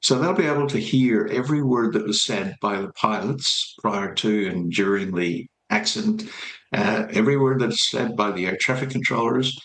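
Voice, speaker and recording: A man giving explanatory expert commentary in a news interview, speaking in a halting, measured way. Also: on the low side, fairly bright tone, reverberant, rough recording. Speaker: aviation expert being interviewed.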